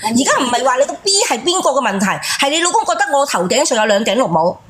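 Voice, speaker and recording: A woman's voice: sits high, very animated, racing, fairly bright tone. A woman co-hosting a call-in show, giving unscripted advice in an insistent, scolding way and repeating her points. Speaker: co-host of a relationship call-in show.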